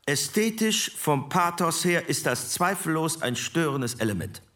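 An actor playing an earnest jurist who reasons in a measured, formal and insistent way in a re-enacted committee debate. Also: male voice, on the high side, big pitch swings, sharp tone, far from the mic, heavily acted.

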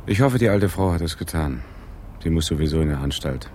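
Laconic, skeptical cop, radio crime play: low mellow voice, dry terse lines, some background ambience. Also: male voice, expressive, a little fast, a little hiss or hum. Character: laconic, skeptical male police detective. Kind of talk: 1980s German radio crime drama dialogue.

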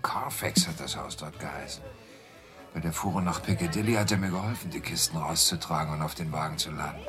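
Very deep-voiced rough, thirsty workman. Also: fairly quick, music in the background. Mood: chuckling, reminiscing. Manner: rambling, colloquial, deferential with "Sir". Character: rough thirsty working-class London carter, chuckling, sly. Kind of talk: German radio drama acting.

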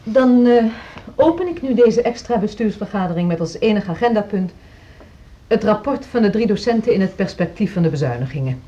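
Actress as brisk board chairwoman, formal, emphatic, lively intonation, radio play, dull lo-fi recording. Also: medium pitch, natural voice. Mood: formal, businesslike. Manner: formal, measured, emphatic, enumerating points. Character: brisk, formal woman chairing a music school board meeting. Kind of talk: radio drama acting, formal meeting address.

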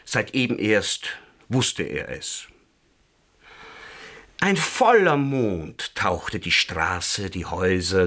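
An actor playing a wry, exasperated old burglar in a German radio crime drama, narrating and speaking in dialogue. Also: male voice, lowish voice, a bit bright, full character voice. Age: 70s. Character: grumbling old burglar recounting a night break-in.

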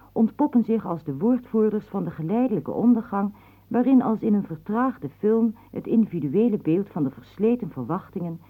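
A woman announcer formally reading a scripted literary introduction to a radio play in measured phrases. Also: mid-range voice. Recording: narrow, tinny band like old radio.

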